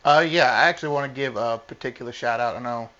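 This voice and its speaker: A man, a gym coach answering interview questions in a plain-spoken, halting way. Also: mid-range voice, bright, forceful tone. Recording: muffled lo-fi audio.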